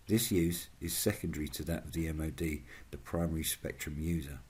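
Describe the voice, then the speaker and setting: A man with a low, husky voice narrates from a script. His delivery is formal and measured, like that of a presenter setting out information point by point for his channel. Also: a little mellow. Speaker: channel host.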